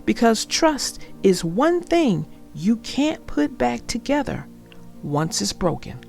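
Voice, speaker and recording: A woman reading a children's story aloud and talking to young listeners in an expressive, deliberate, instructive way. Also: on the high side, creaky, soft background music.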